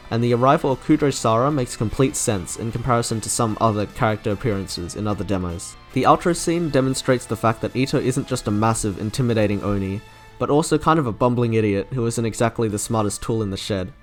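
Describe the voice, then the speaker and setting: A man giving a scripted review narration as a video host. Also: mid-range voice. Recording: music behind the voice. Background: music.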